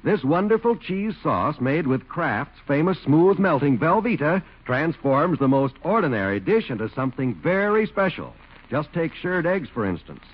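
A male radio announcer delivers a commercial in a hearty, persuasive manner. His voice is a little husky and swings lively in pitch. The sound is the dull, narrow tone of an old broadcast. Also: moderate pace.

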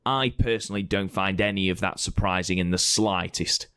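A man co-hosting a podcast, hoarse with a croaky throat, blunt and opinionated and swearing freely as he argues his picks. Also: low-pitched, moderate pace, a bit bright, no room sound, clean studio sound. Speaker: podcast co-host presenting award results. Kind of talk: casual banter.

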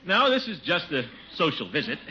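An actor playing a pompous, easily flustered middle-aged uncle in a 1950s radio comedy, blustering and muttering asides. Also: male voice, on the high side, huge pitch swings, moderate pace, pushed and bright, heavily acted. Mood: falsely casual. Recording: muffled, noisy old radio recording.

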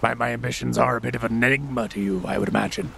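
A man voicing a raspy, blunt male whaling ship captain who talks plainly with old-fashioned phrasing. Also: mid-range voice, fast, fairly bright tone, a little hiss or hum.

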